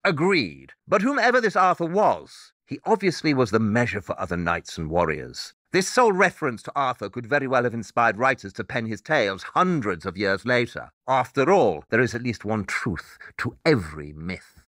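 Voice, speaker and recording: A precise, animated pedantic detective. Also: male voice, medium pitch, normal speed, hardly any pauses, dry recording, clean studio sound. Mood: intent, lecturing. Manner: precise, didactic, emphatic. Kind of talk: scripted audio drama dialogue.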